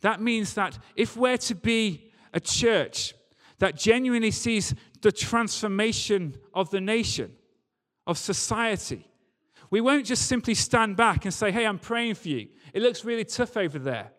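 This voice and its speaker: A man's voice: high voice, animated, measured pace, a bit bright. A man preaching a sermon to a congregation in an emphatic manner, using rhetorical questions and repetition. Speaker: preacher, church leader. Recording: reverberant hall sound.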